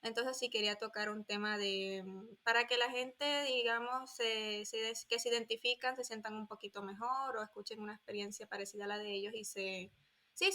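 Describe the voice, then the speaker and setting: A woman's voice: medium pitch, sharp tone. A woman in her twenties from Colombia, a guest on a podcast, talking casually and often searching for her words. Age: 20s. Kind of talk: casual interview talk.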